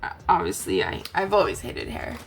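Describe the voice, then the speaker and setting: A tired woman with a raspy voice, chatting casually as a crafting host, rambling gently. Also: lowish voice, fairly even intonation, normal speed, a little hiss or hum. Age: adult, aunt. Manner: rambling, chatty, soft-spoken. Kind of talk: casual chat to camera.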